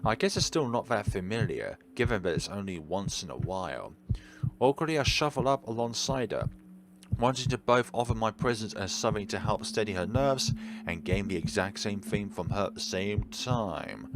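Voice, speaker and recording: A man's voice: lowish voice, lively intonation, normal speed, background noise. A man reading a visual novel aloud as a let's player, mixing narration with casual asides and sometimes tripping over words and correcting himself. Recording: music playing behind the voice. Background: game music.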